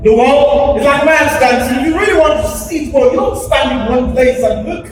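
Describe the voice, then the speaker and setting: A man giving a theatrical spoken-word reading in a deliberate, emphatic, oratorical manner. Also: very high-pitched, background noise. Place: live stage at an awards event. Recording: echoey hall, distant mic, music behind. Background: music.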